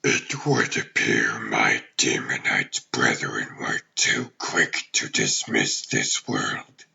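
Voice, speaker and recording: An ancient demon overlord from a comic dub, extremely low and heavily gravelled. He speaks with grand, formal menace, very slowly and deliberately, as an imperious ruler would. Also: lively intonation, pushed and bright, highs cut off, full character voice. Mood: brooding, disdainful. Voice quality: gravelly, growling. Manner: pompous, deliberate, formal archaic phrasing. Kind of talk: theatrical villain acting in a comic dub.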